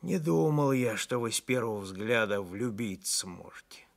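A man reading the part of a kindly, teasing village paramedic who speaks in a plain, folksy, gently grumbling way. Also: lowish voice, animated, moderate pace, gravelly.

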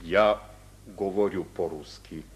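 An arrogant captured German major. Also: male voice, low voice, fairly even intonation. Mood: defiant. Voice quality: cold, defiant, measured.

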